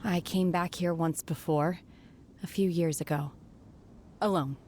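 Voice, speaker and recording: A detached young woman from a fantasy game, voiced by an actress. Her voice sits a little low and stays calm and quiet. She speaks in measured, reflective phrases. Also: noisy background, natural voice. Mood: wistful. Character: aloof, lonely young woman exorcist. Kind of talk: game voice acting.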